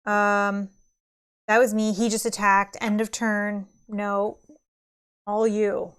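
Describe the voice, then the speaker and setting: A woman's voice: mid-range voice, little pitch movement, slow pace, a bit bright, smooth. A woman chatting casually as she plays a board game on a live stream. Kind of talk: casual live playthrough chat.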